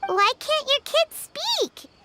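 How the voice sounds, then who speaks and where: Tiny fairy girl, very high squeaky voice, chirpy, childlike, anime game character. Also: sing-song, slow, heavy background noise, full character voice. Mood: puzzled. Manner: perky, speaks of self in third person. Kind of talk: anime video game voice acting.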